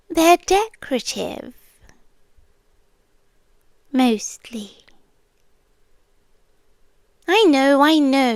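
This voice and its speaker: A British woman voicing a sweetly menacing, possessive sea-creature girlfriend in a soft, breathy, intimate ASMR roleplay. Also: sits high, no echo, clean studio sound, exaggerated voice. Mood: patient, possessive. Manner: soft, breathy half-whisper, drawn-out pauses. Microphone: close ASMR microphone.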